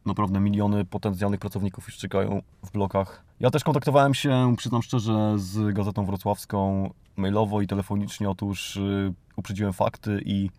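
A man's voice: lowish voice, steady tone, moderate pace, dark tone, no room sound. A Polish man hosting a podcast, delivering a tongue-in-cheek monologue in a mock-earnest, exhorting manner. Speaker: podcast host.

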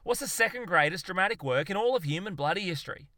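A man's voice: on the high side, animated, brisk, sharp tone, dry recording, clean studio sound. A man voicing a fervent, exasperated Australian zoologist in a comic story, ranting emphatically and swearing. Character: exasperated, blustering Aussie bloke zoologist, manically fervent.

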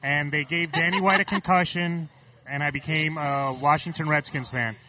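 Man from Puerto Rico, bright voice, slightly high, excitable, stammers, casual chat. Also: normal speed. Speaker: podcast co-host.

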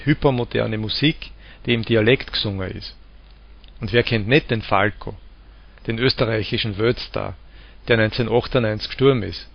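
A man with an Austrian accent from the Vienna area, calmly explaining dialects and giving spoken examples. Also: medium pitch, vocal fry. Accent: Austrian German, Lower Austria/Vienna. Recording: dull lo-fi recording.

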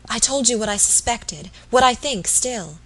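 A woman's voice: on the high side, expressive, normal speed, fairly bright tone, pitched above the performer's own voice, poor audio. A woman voicing an anxious, deferential young wife in an audiobook, speaking eagerly and earnestly. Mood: eager, confiding. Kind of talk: audiobook character voice.

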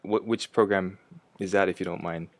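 A man's voice: low-pitched, steady tone, moderate pace, no echo. A man hosting a casual interview, asking informal questions in a hesitant way. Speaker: interviewer, host.

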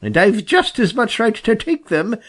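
Husky, very swoopy, raised voice, wry unruffled beaver, storybook animal, played by a man. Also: sits high, big pitch swings, moderate pace, a bit bright, pitched above the performer's own voice, exaggerated voice. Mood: firm retort. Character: calm, twinkly-eyed male beaver, wry and polite.

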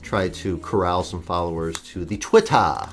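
A man's voice: low-pitched, moderate pace. A man chatting loosely on his podcast, his voice a little congested from allergies. He rambles and jokes with plenty of fillers, and faint music sits behind him. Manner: rambling, joking, many fillers. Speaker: podcast co-host. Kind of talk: casual chat.

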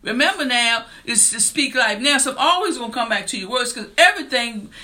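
Woman, fifties, faith talk host, bright voice, earnest, preacher-like. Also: a little high, fairly quick. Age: 50s. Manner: earnest and preacher-like, rising to excited emphasis. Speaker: podcast and radio show host. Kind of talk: faith-based motivational talk.

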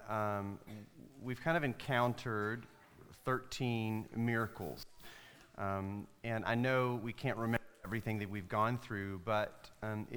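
Brief: male pastor host, crisp clear voice, friendly prompting questions, large echoing sanctuary. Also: lowish voice, fairly bright tone. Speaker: associate pastor hosting an interview. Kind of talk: on-stage church interview. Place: church sanctuary during worship service. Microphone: stage microphone. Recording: long hall reverb from large room.

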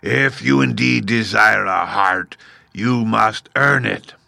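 Guy doing a huge roaring beast, slow gruff booming, kinda hollow. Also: sharp tone, no echo, studio quality, heavily acted. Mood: commanding. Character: huge roaring five-eyed male beast.

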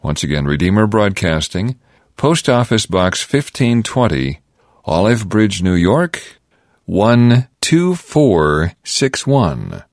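A man with a deep voice reads a scripted radio station announcement. His delivery is measured and deliberate, with an animated, moving pitch. The recording is close and dry. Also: dry recording.

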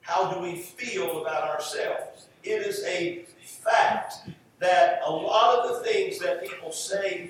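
An American man delivers a fervent sermon in a high voice. He leans hard on key phrases and repeats them for emphasis. The sound is very reverberant and picked up from a distance. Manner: emphatic, repeats phrases for effect, drawn-out stresses. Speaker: preacher. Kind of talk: fervent sermon with scripture reading. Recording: roomy echo, distant mic, faint noise.

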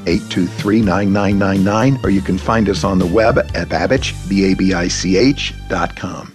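A man reads a radio sponsor spot in a plain, steady manner. His voice is very low, with clear music running behind him. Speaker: sponsor reading an ad. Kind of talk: scripted radio ad read. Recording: ad over music.

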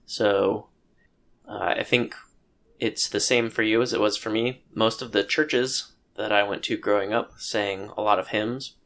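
Podcast co-host, male, low gravelly voice, hesitant and thoughtful, casual chat. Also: steady tone, unhurried, a bit bright. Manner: thoughtful, hesitant, many ums and restarts.

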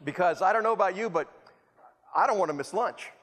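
A man's voice: high voice, lively intonation, a little fast, fairly bright tone, a little hiss or hum. A man from El Salvador, preaching a sermon with rhetorical repetition and self-deprecating humor. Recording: long hall reverb.

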